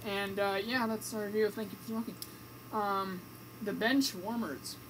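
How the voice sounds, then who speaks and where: A woman's voice: medium pitch, steady tone, moderate pace. A woman co-hosting a radio show, chatting casually through movie reviews in a hesitant, hedging way. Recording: noisy amateur recording.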